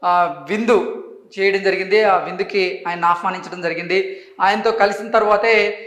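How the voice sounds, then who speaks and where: Very high-pitched man reading news. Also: steady tone, normal speed, a bit bright. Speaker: news presenter. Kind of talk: news report with commentary. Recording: roomy, echoing voice recording.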